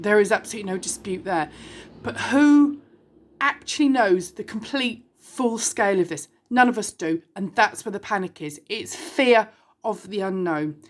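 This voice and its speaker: A British woman in her forties, from the UK, speaking bluntly to her viewers in a candid monologue, full of rhetorical questions and the odd swear word. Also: mid-range voice. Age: 40s. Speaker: vlogger.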